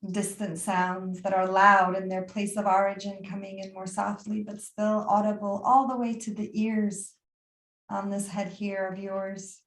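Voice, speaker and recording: A woman leads a guided meditation in a soothing, unhurried manner. Her mid-pitched voice stays very even, with little rise or fall, and phrases trail off into pauses. The sound is noticeably roomy and echoey. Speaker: yoga nidra meditation teacher.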